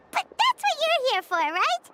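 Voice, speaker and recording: A woman voicing a tiny, bubbly fairy companion with a squeaky childlike voice in animated game dialogue. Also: noisy background, full character voice. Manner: exclamatory, bubbly.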